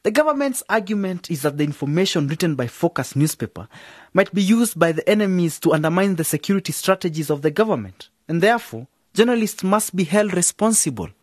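A man's voice: high-pitched, expressive, fairly quick, no echo, clean studio sound. A man playing an earnest young member of a youth project in an educational radio drama. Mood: serious.

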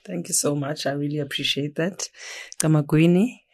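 A woman from South Africa's Eastern Cape with a South African accent, a traditional healer answering interview questions softly and reflectively, mixing in isiXhosa. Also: sits low, fairly even intonation, moderate pace, husky. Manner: soft-spoken, reflective, mixes English and isiXhosa.

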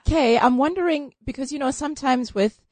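A woman's voice: high-pitched, fairly quick, darkish tone, no echo. A woman co-hosting a casual chat, asking earnest, hesitant questions.

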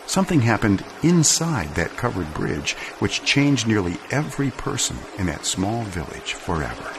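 Male narrator for a dramatic story reading: lower register, widely varied intonation, measured and solemn, faint noise behind. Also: creaky. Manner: measured, solemn, expressive storytelling with deliberate pauses.

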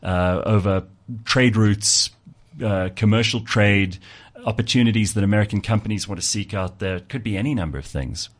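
A man's voice: on the low side, moderate pace. A man hosting an interview, chatty and teasing, often repeating words as he builds his questions. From South Africa. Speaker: talk show host. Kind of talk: conversational interview.

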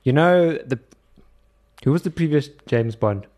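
A young man co-hosting a film podcast, talking things through in a halting way as he searches for words. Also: medium pitch, animated, darkish tone. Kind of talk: casual film review chat.

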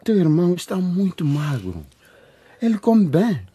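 Calm caring doctor in radio drama, dark raspy voice, pitch moves around a lot. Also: male voice, a little slow, no room sound, heavily acted. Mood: concerned. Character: calm, concerned male hospital doctor.

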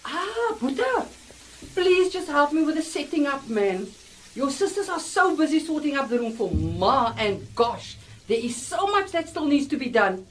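Radio drama mom played by a woman: harried, fussing, Cape Town accent, high and highly animated voice. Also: moderate pace, slight background noise, poor audio. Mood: flustered. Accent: South African, Cape Town. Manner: exasperated, fussing. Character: harried, strict, fretful middle-aged mother. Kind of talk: amateur radio drama acting.

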